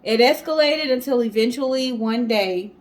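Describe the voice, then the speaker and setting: A woman's voice: a little high, moderate pace. A woman co-hosting a storytime show, telling a story from notes in a casual, conversational style. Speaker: co-host of a storytime guessing-game show.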